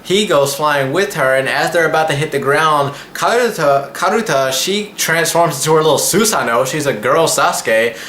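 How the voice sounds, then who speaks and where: Bright-voiced young man, echoey room. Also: a little high, normal speed, hardly any pauses. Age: 20s. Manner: slangy, rambling, loose phrasing. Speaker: anime reviewer and channel host. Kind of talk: casual vlog episode recap. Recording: echoey room with faint background noise.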